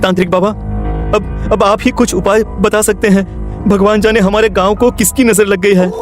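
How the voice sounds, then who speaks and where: A man's voice: sits high, flat delivery, moderate pace, natural voice. A man playing an earnest, distressed young villager in a cartoon story, hesitantly repeating his words. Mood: anxious, earnest. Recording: music under the voice.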